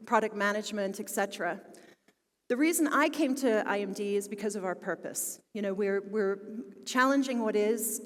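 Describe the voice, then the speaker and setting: A Philadelphia woman in her late forties gives an enthusiastic keynote with plenty of fillers. Her voice sits a little high. Long reverb from a large conference hall trails after her words. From Philadelphia, United States. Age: 40s. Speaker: conference speaker.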